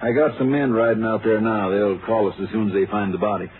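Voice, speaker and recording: Actor as folksy low-voiced sheriff, old radio. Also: male voice, fairly even intonation, fast talker, lightly acted. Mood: helpful, plain-spoken. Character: folksy, practical Texas county sheriff. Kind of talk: 1950s radio drama acting. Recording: tinny, faintly noisy 1950s radio.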